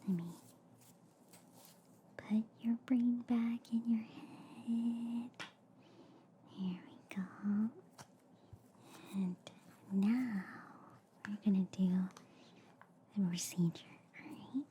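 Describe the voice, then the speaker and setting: A woman speaks in a breathy, mellow whisper, very close to the mic. Her delivery is slow and soothing, with playful reassurance, as in an ASMR roleplay. Faint noise sits behind her voice. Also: a little high, fairly even intonation. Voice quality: soft, breathy, mostly whispered. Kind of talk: soft ASMR roleplay as a friendly alien doctor. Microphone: close ASMR microphone.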